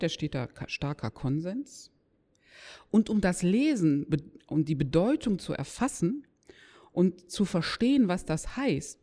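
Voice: medium pitch, dark tone. A lecturer explaining didactically to an audience, stressing key words and posing rhetorical questions. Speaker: lecturer in nursing or healthcare. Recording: echoey hall with long reverb.

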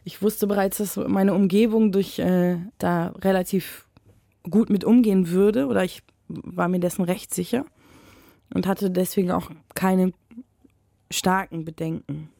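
A young woman speaks in a reflective, measured way with many pauses and fillers. Her voice is mellow and warm. The studio sound is dry and close. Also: fairly low pitch, fairly even intonation, creaky, dry recording. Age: young adult. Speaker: interview guest. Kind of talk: casual chat. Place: radio studio.

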